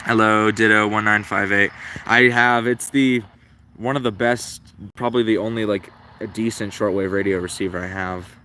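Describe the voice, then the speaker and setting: A man casually thinking out loud as he hosts a hobby livestream, in a low-key, rambling, unhurried way. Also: fairly even intonation, a little hiss or hum. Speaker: livestream host, radio hobbyist. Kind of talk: casual livestream commentary, musing aloud.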